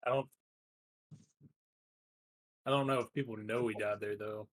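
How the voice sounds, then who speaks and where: A man speaking haltingly and tentatively as he improvises in a tabletop roleplaying game. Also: on the low side, fairly even intonation. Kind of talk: improvised in-character game talk.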